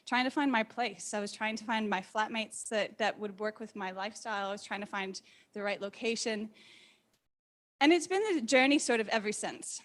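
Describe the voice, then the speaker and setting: A woman in her thirties with a mixed, hard-to-place accent, telling personal stories informally and in an animated, candid way as a speaker to a live audience. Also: fairly high pitch. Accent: hard-to-place mixed accent, neither clearly American, New Zealand nor Australian. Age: 30s. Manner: animated, candid, acts out quoted thoughts. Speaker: speaker at a community meetup.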